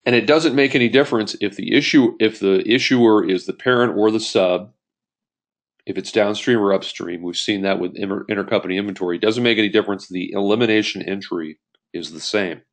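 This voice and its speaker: A man's voice: on the low side, a little fast. A man giving an explanatory lecture as an accounting instructor, working step by step through an example and restating key points. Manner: step-by-step, rephrases key points, poses questions to himself.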